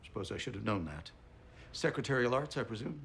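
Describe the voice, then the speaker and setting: A man's voice: fairly low pitch, lively intonation, brisk, vocal fry, a bit bright, slight background noise. A man playing a weary, patronising senior doctor with dry, formal delivery in a TV period drama. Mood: condescending. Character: condescending, weary male doctor, a senior boss. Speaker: actor in a TV detective series. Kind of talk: naturalistic TV period drama acting.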